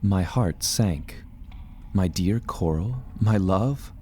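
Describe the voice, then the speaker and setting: An actor playing a gloomy, formal adventurer who recounts his perils in grave, measured, ornate dramatic narration. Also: low voice, dark tone, a little hiss or hum. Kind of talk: dramatic first-person audio drama narration.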